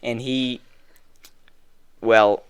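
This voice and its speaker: A man's voice: mid-range voice, very slow, smooth, dry recording, muffled recording. A man doing a rambling fake prince. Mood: rambling deadpan. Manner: rambling, deadpan storytelling. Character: rambling comic fake South African prince, young man. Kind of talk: comedy podcast bit.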